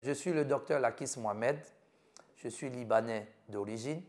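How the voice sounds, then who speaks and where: A man's voice: medium pitch, moderate pace. A man, a doctor telling his own story in an interview, earnest and proud, often listing things one by one. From Côte d'Ivoire. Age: 50s. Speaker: physician interviewee. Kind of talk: personal interview testimony.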